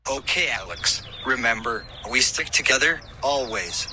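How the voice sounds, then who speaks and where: A man's voice: mid-range voice, lively intonation, measured pace, pushed and bright, a little hiss or hum, low-quality recording. A man playing an earnest, determined would-be demon redeemer in an amateur audio drama.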